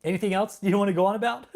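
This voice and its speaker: A man's voice: high voice, darkish tone, husky. A man from California hosting a live stream, reading chat and taunting a troll with heavy swearing and laughter. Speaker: livestream host. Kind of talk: casual livestream chat.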